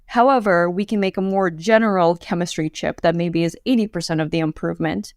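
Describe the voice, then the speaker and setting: Smooth-voiced woman, casual podcast guest. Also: on the low side, fairly quick, no echo, studio quality. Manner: chatty, many fillers, laughs often. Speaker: guest, quantum hardware startup.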